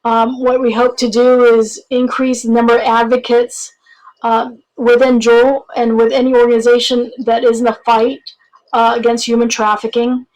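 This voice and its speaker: Female podcast host; higher pitch, even and flat delivery, informal and encouraging. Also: normal speed, poor audio. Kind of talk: casual interview intro.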